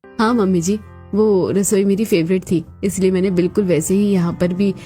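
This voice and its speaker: A woman's voice: medium pitch, fairly even intonation, normal speed, mellow, slightly rough, soft background music, lightly acted. A woman voicing a kind young Indian daughter-in-law, polite and earnest, in a cartoon family drama. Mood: kind, reassuring.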